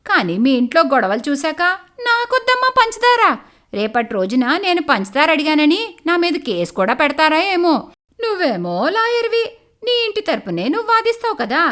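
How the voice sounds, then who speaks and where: Woman acting a nosy, animated neighbour aunty. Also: high-pitched, heavily acted. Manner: meddling, dramatic, plaintive. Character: nosy, gossiping neighbour aunty, easily flustered. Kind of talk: cartoon moral story acting.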